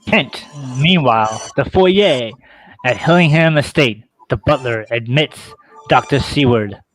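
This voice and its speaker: A man's voice: mid-range voice, measured pace, a bit bright, poor audio. A man reading stage directions and narrating an amateur radio play on a podcast. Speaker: host and narrator of a table read. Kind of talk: casual scripted narration.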